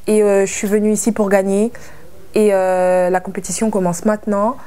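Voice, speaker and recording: A woman's voice: medium pitch, flat delivery, normal speed, dark tone, clear, resonant tone. A twenty-year-old woman from France speaking to camera in a reality-show confessional, hesitant and full of fillers.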